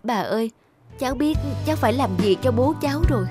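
A woman's voice: on the high side, lively intonation, fast talker, loud music behind the voice, natural voice. A young woman playing an earnest grown daughter back from studying abroad in a cartoon. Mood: moved. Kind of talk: Vietnamese cartoon dubbing.

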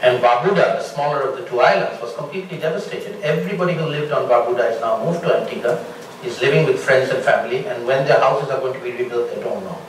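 A middle-aged man from Bangladesh speaking as a panelist, explaining his points in a measured way and building them with examples. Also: mid-range voice, slight background noise. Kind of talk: panel discussion answer. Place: large lecture hall with audience. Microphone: room mic from a distance. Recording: echoey hall from far off.

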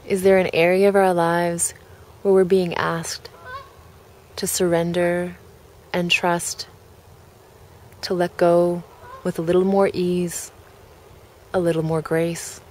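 A woman with a fairly low voice guides a relaxation meditation. She speaks gently, in short measured phrases with space between them. Clear background ambience and noise sit behind her voice. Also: little pitch movement.

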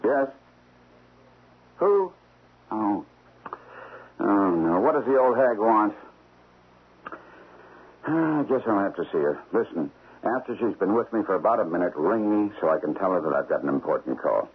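An actor playing a two-faced, nervous stockbroker in a radio drama, theatrical and evasive. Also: male voice, on the low side, very animated, moderate pace, long pauses, a little hiss or hum. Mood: irritated, muttering. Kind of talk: old-time radio drama acting. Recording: tinny old radio broadcast.

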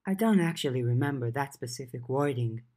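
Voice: mid-range voice, expressive, brisk, a little mellow, lightly acted. A narrator voicing a kind, self-doubting teenage girl with a hesitant, modest manner.

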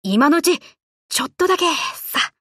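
A woman voicing a brash, tomboyish young warship girl in an anime game, talking in rough, cocky, casual boyish slang. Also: sits high, very animated, normal speed, sharp tone, dry recording, studio quality, heavily acted. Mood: breezy, casual. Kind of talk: Japanese anime game voice lines.

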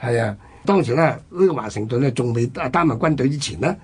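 A man's voice: medium pitch, animated, brisk, husky. A man with a Hong Kong Cantonese accent, telling history conversationally as a radio co-host.

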